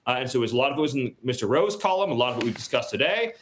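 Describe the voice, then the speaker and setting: A man's voice: mid-range voice, big pitch swings, racing, fairly bright tone, slight background noise. A man appearing as a guest commentator on a political show, arguing his points firmly and repeating words for emphasis. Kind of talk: political argument on TV.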